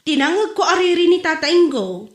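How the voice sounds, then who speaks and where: An actress plays a gossipy village woman with an Ilocano accent in a comedy drama. Her voice is high and very lively in its melody, with clear room echo around it. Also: brisk. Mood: gossiping. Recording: roomy echo.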